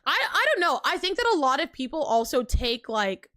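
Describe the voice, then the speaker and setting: A young woman talks to her live-stream viewers in a high, bright voice, riffing casually and emphatically with plenty of fillers. She is recorded close and dry, with no room sound. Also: fairly quick, no room sound. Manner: animated, filler-heavy, emphatic, frequent laughs and pitch jumps. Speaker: livestreamer.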